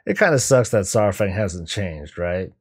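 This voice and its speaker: A man's voice: sits low, very animated, a little slow, slight background noise. A middle-aged man giving casual, musing reaction commentary as a video host, talking conversationally. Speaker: YouTube host reacting to game cinematics.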